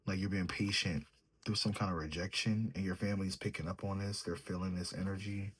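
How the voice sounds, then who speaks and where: A young man reads tarot in a mellow, sleepy manner, musing out loud over each card. His voice sits low and has a husky, breathy edge. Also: fairly even intonation, fairly quick. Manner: sleepy, mellow, thinks aloud while drawing cards. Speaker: tarot reader. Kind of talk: solo tarot reading, casual chat.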